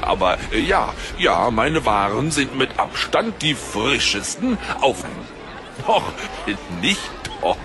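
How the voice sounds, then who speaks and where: A man's voice: mid-range voice, big pitch swings, takes it slowly, crowd noise, background noise. A man playing a greedy, haughty market baker in an audio drama, with a salesman's manner. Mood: dazed, flustered. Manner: salesman-like, hesitant stammering when flustered. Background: music.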